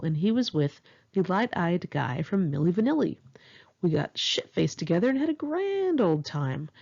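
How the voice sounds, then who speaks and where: Female author-narrator, candid and conversational memoir read, lively intonation, gravelly fry, slightly dull home-recorded sound. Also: fairly low pitch. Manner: candid, wry, profane, conversational. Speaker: author reading own memoir. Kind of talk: memoir audiobook narration with casual asides.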